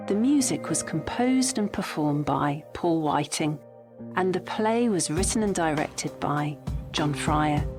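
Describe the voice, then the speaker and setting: A woman reading scripted closing credits as the announcer of a radio play. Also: low voice. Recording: voice over prominent music. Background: music.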